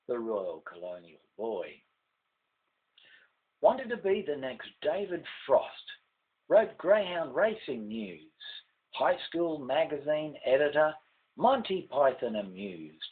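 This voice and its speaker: An older man with an Australian accent recites a rhyming ballad at an unhurried, measured pace. His pitch rises and falls widely in a declamatory sing-song that drops at the close of lines. The sound is narrow and tinny, like a telephone. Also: breathy edge. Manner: declamatory, sing-song, falling at line ends. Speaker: poet reading own poem. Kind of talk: poetry recitation, rhymed ballad with refrain.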